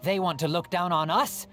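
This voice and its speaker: A tough, wry bazaar local, low for a woman and unhurried, her pitch still swinging widely. Faint music plays behind her. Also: fairly bright tone. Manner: wry, defiant.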